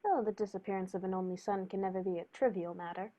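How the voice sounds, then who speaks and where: A woman playing a composed, courteous male detective with formal, measured phrasing in a radio play. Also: mid-range voice, steady tone, warm tone, lightly acted. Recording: dull lo-fi recording.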